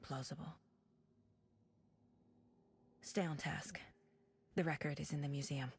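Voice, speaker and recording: An actress playing a focused, questioning investigator in a video game, reasoning things out in a pensive, often hushed voice. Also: female voice, deep voice, fairly even intonation, takes it slowly, slightly rough, heavily acted. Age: adult. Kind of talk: introspective game cutscene monologue.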